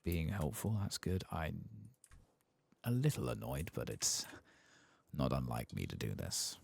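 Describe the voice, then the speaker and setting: A soft-spoken man muttering casual ASMR commentary as he plays a game, with a husky, gravelly voice. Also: extremely low pitch, very animated, measured pace, slight background noise. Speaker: gameplay streamer.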